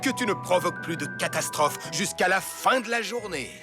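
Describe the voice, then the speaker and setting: A man voicing a steady, earnest and reassuring firefighter hero in a children's cartoon dub. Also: high-pitched, normal speed. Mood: serious, measured. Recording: very loud music under the voice.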